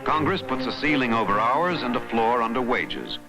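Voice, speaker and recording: An American man narrates a historical documentary with an animated, widely swinging pitch. His phrasing is emphatic and dramatic, in the manner of a newsreel, and music plays clearly behind him. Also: normal speed, low-quality recording. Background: background music.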